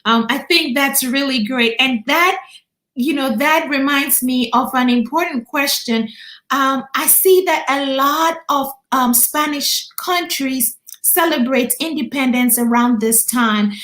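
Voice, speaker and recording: A woman with a Ghanaian accent hosts a video-call panel, her voice set a little high. She talks earnestly and personally, and the room around her rings with echo. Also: a little slow, fairly bright tone. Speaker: host. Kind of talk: casual panel chat.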